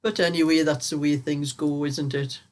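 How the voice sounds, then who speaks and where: An older woman, croaky from a cold, chatting casually while knitting as host of her own vlog, rambling through stories and laughing often. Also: sits low, steady tone, moderate pace, darkish tone.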